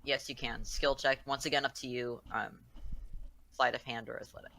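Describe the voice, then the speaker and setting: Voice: mid-range voice, fairly even intonation, moderate pace, gravelly, pushed and bright. A game master narrating a tabletop roleplaying game in an informal way, addressing the players as their characters.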